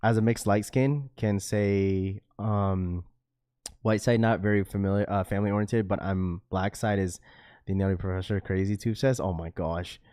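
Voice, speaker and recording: A man's voice: lowish voice, fairly even intonation, moderate pace, mellow, dry recording, clean studio sound. A laid-back man hosting a livestream and chatting casually with his viewers, his talk full of "bro", "dude" and "like"; he is a parent with a school-age daughter. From Washington state, US. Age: young adult to middle-aged, a father of a schoolchild. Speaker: livestream host reading chat.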